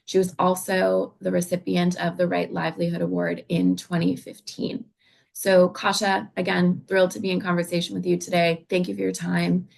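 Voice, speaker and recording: A woman's voice: on the low side, level tone, moderate pace, gravelly, a little mellow. A woman interviewer who reads a formal introduction and then asks long, careful questions. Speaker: interviewer, program director. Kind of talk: interview host.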